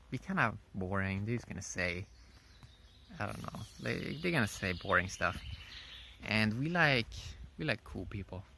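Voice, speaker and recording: Halting man rambling over background noise. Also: lowish voice, fairly bright tone. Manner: rambling and halting, often repeats phrases. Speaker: vlogger. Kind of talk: casual unscripted opinion monologue.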